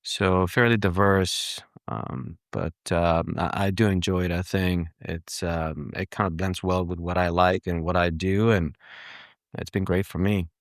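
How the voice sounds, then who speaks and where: A man from Greece and Cyprus, answering interview questions casually and haltingly as a guest surgeon. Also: low voice, fairly even intonation, dry recording, studio quality.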